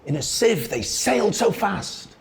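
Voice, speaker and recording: A man reciting a children's poem as a storyteller, in a theatrical, singsong way with drawn-out phrasing. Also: high voice, big pitch swings, vocal fry. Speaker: storyteller, radio presenter. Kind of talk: expressive children's poetry recitation.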